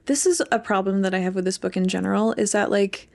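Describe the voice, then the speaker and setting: Creaky-voiced young woman chatting. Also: mid-range voice, fast talker, darkish tone. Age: young adult. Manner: informal, heavy use of "like". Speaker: podcast co-host. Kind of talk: casual book discussion chat.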